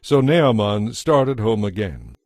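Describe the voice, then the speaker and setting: A man's voice: on the low side, sing-song, slow, a little mellow, no room sound, studio quality. A man narrating a scripted, dramatized Bible story as its storyteller.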